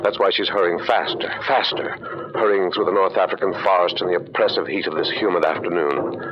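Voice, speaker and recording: A man's voice: low voice, brisk, slight background noise, natural voice. A man narrating a 1940s radio drama in a portentous, suspenseful, dramatic manner. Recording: muffled old radio recording with music.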